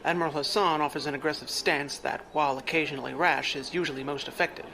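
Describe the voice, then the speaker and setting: Sci-fi drama aide, male actor: analytical, formal man, slightly high and gravelly, exact diction. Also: fairly even intonation, bright, forceful tone. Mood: calm, analytical. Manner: measured, formal, exact wording. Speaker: adviser to the clan leader. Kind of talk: science fiction audio drama.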